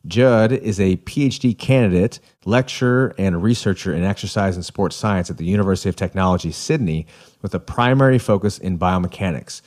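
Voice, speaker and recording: A man's voice: low voice, brisk, darkish tone. Upbeat man hosting a podcast. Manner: upbeat, joking, self-deprecating. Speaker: podcast host. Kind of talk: scripted intro then casual chat.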